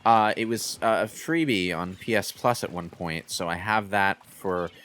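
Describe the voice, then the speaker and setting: A man's voice: low voice, unhurried, fairly bright tone. A man chatting casually as a video game commentator.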